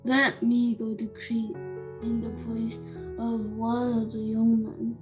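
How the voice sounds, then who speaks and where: A woman's voice: on the high side, monotone, slow pace. A woman playing a brave, earnest young prince of Athens in an amateur radio play, over music. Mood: resolute. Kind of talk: amateur radio theater reading. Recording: clear music behind.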